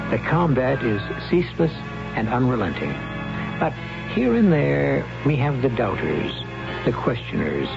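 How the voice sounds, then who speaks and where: A man narrates as host of a 1970s radio drama, wry and theatrical. His voice is creaky and moves through wide swings of pitch at a deliberate gait. Music plays behind him on a muffled old recording.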